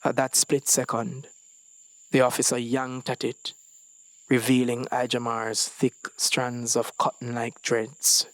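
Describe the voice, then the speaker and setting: A man reading a short story as scripted dramatic narration, with deliberate phrasing and dramatic pauses. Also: medium pitch, completely flat delivery, a bit bright, dry recording, low-quality recording. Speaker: story reader.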